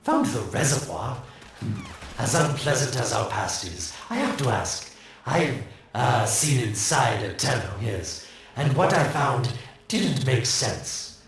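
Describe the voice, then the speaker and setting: An actor voicing a sly, mocking scientist who taunts in smug, sarcastic drawls. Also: male voice, mid-range voice, sing-song, slow, creaky, very echoey, distant mic, slight background noise, full character voice. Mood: sardonic. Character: sly, mocking male scientist, gleeful schemer.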